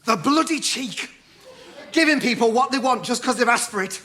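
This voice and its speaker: An actor with a Yorkshire accent playing a stubborn, combative chip shop owner in a stage musical comedy, declaiming like a wartime leader. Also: male voice, extremely high pitch, animated, moderate pace, bright, forceful tone, a faint crowd, heavily acted. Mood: indignant. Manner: blustering, declaiming wartime speeches.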